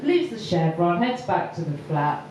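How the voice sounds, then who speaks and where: A woman doing stand-up, telling a story slowly with many ums and pauses. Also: fairly low pitch, very animated, a little mellow, slight background noise. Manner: hesitant, lots of ums, slow deliberate delivery. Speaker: stand-up comedian. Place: comedy venue with audience laughter. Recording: echoey far-off live room recording.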